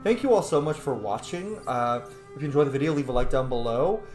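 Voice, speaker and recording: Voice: medium pitch, sing-song, fast, a little mellow, faint music behind. A gaming video host giving casual, opinionated let's-play commentary with geeky, rambling tangents. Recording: roomy echo, faint background noise.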